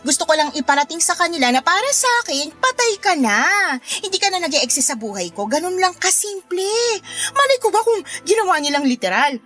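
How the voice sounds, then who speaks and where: Spiteful high-voiced Filipina neighbour. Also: female voice, big pitch swings, brisk, sharp tone, soft background music, heavily acted. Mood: mocking, smug. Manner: mocking, flippant, dismissive. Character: sarcastic, spiteful, unrepentant female neighbour. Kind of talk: Filipino radio drama acting.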